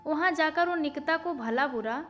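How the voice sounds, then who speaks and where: A woman's voice: sits high, normal speed, fairly bright tone. A woman storyteller reading a scripted family moral story in audiobook narration. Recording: faint background music. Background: faint music.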